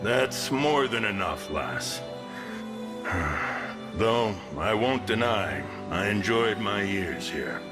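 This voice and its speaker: A gruff fighting lord of a fantasy game town, low in pitch and unhurried. His archaic, folksy lines swing widely in tone with a sardonic, resigned edge, over background music. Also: very animated, exaggerated voice. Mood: weary, resigned. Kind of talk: video game fantasy acting.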